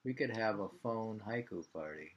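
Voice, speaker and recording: A man in his sixties with an American Midland accent, chatting casually and reading poetry aloud in a halting, musing way. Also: lowish voice, lively intonation. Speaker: poetry reader and host. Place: small home room by a window, indoors. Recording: clearly noisy home webcam recording with clicks.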